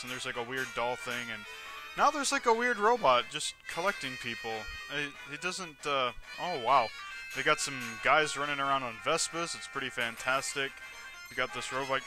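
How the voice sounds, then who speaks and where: A man with a slightly high voice hosts a casual let's play over clearly audible game music. He describes what happens on screen in a rambling way, often doubling back to correct himself. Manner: rambling, self-correcting, narrates on-screen action. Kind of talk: casual video game commentary. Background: video game music.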